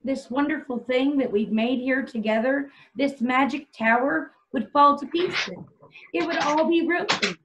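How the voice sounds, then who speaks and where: A devoted, anxious young wife from a stage play played by a woman. Her voice is high and genteel, earnest and eager to placate. It comes through slightly muffled, like a video call. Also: a little slow, a bit bright. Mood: pleading. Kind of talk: stage play table read. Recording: dull video-call audio.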